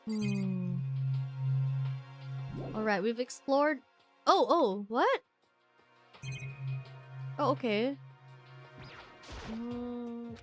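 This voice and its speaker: Excitable, congested female game streamer. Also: on the high side. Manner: excitable, gasping and laughing often. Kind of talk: casual gameplay commentary.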